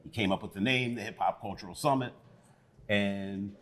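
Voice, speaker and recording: A man speaking as an interview guest, telling how the event began in a relaxed storytelling way. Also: lowish voice, moderate pace. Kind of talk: conversational interview.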